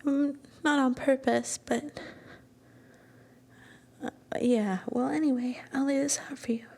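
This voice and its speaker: A woman playing a shy, sweet young student girlfriend in an ASMR roleplay, speaking softly and breathily with gentle hesitation, often slipping into a whisper. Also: high voice, steady tone, unhurried, gravelly, slightly rough, heavily acted. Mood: gentle, caring.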